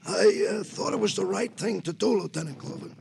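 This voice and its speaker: An actor playing a fussy, indignant New citizen informant, peevish and stammering, in radio crime drama style. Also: male voice, high voice, animated, brisk, creaky, exaggerated voice. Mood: flustered, indignant. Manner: peevish, self-righteous, stammering. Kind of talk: 1950s American radio crime drama acting. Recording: faintly noisy old radio recording.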